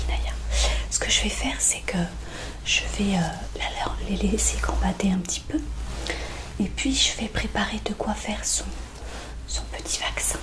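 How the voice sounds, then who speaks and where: Female ASMR roleplayer as a vet: hushed whisper, very unhurried, gentle, reassuring, hesitant. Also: medium pitch, low-quality recording. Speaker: ASMR roleplayer playing a veterinarian.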